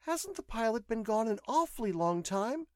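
A man's voice: high voice, big pitch swings, moderate pace, dry recording, clean studio sound, voice pushed up. A man voicing an anxious, rueful young woman in an audio story, with a hesitant, questioning way of talking.

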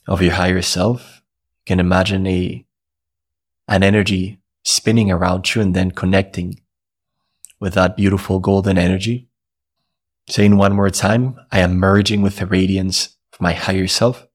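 A man guides listeners through a meditation in a low, steady voice. He speaks slowly, with long pauses between calm, repeated instructions. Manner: slow, soothing, repetitive instructions with long pauses.

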